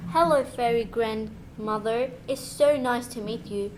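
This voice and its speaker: A woman's voice: a little high, measured pace, darkish tone, lightly acted. A female performer playing an eager, curious girl lost in a storybook in an amateur school radio play. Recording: noisy, birdsong and ambience behind.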